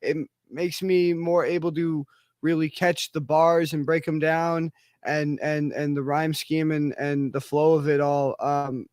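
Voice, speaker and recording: A man's voice: fairly high pitch, little pitch movement, unhurried, darkish tone, dry recording, clean studio sound. A man hosting a rap cypher, giving rambling, profane feedback full of fillers and restarts. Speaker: cypher host. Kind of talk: casual chat.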